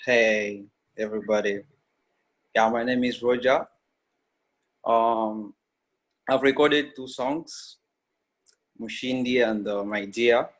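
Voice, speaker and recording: A man from Congo whose first language is Swahili introduces his own songs. His voice sits at a medium pitch for a man. He speaks plainly and steadily, pausing a little now and then. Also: steady tone. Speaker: musician. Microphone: video call.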